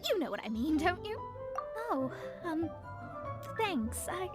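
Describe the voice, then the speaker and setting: A woman's voice: extremely high pitch, very animated, slow pace, music in the background, full character voice. A woman playing a proud young female astrologer in an anime-style game, formal and dramatic but easily flustered. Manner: haughty, formal wording, flustered stammering.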